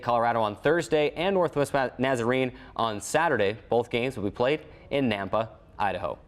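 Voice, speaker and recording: A man hosting a sports show, recapping results and interviewing guests in a studio. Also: mid-range voice. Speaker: sports show host and play-by-play announcer.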